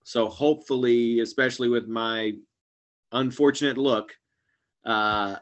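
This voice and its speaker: A man in his forties, a guest actor and comedian, chatting casually over a webcam call from a small room at home, rambling and trailing off quietly at the ends of phrases. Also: medium pitch, fairly even intonation, measured pace, dull audio. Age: 40s. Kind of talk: casual video-call chat. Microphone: webcam video call.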